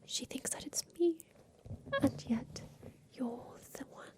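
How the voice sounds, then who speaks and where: A woman playing an aging, wistful inventor confined in a haunted attic, whispering softly and confidingly in an ASMR roleplay about revenge. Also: high voice. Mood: conspiratorial, pleased. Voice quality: soft breathy whisper. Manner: slow, wistful, confiding, halting.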